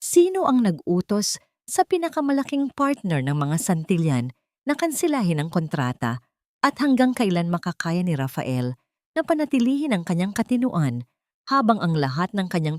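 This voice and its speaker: Low-voiced woman dramatic storyteller. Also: no echo, clean studio sound. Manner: measured, steady and dramatic reading. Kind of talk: scripted dramatic narration.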